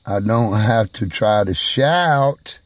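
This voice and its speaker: A man speaking slowly and haltingly in a personal video monologue, who is hard of hearing and raises his voice at times. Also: on the low side, animated, no room sound. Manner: slow, halting, emphatic delivery.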